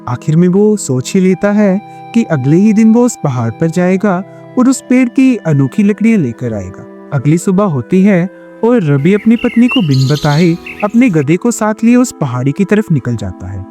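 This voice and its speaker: A male storyteller reads scripted narration in a mellow tone. His pitch sits on the high side and moves expressively through each sentence.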